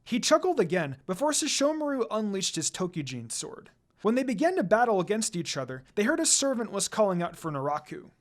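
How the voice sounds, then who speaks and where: A man's voice: high voice, big pitch swings, brisk. A man narrating a story aloud in a dramatic reading style.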